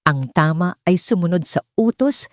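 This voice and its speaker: A woman's voice: lowish voice, animated, moderate pace, no echo, clean studio sound, natural voice. A woman voicing a scornful, bossy hospital supervisor. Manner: scornful, commanding. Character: contemptuous female hospital supervisor, cold and harsh. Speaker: nurse supervisor.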